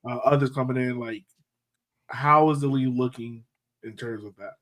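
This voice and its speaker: A man's voice: mid-range voice, steady tone, normal speed, a bit bright. A man hosting a podcast, asking informal, meandering questions in a casual chatty style. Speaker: podcast host.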